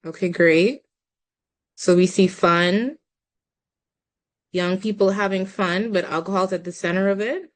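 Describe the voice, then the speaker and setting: A woman's voice: mid-range voice, steady tone, measured pace, long pauses. A young woman from the Bahamas presenting slides in a webinar over a video call, politely guiding the audience through a poll.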